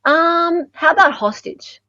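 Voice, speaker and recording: A woman's voice: very high voice, lively intonation, slow, sharp tone, dry recording, lo-fi sound. A woman hosting true-crime commentary in a casual, chatty and wry way. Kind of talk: casual chatty commentary on court evidence videos.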